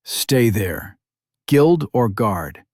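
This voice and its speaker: A man voicing a gruff wounded warrior. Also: fairly low pitch, sing-song, drawn-out words, darkish tone, no echo, studio quality, heavily acted. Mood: grim warning. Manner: terse, gritted-teeth warnings. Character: badly wounded one-legged male warrior in his forties, gruff and stoic. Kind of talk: audiobook character voice.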